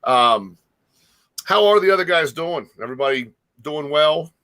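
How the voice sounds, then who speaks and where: Bright-voiced man hosting a casual interview. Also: fairly high pitch, lively intonation, moderate pace. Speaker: talk show host interviewing a musician. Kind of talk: casual music interview chat.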